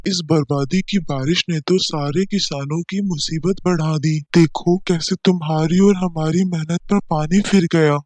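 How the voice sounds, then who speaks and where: Male actor as poor villager farmer for cartoon moral tale: high-pitched, earnest, plaintive, dry sound. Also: a little mellow, no room sound. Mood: distressed. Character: worried, kind poor village farmer men. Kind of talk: cartoon story dialogue.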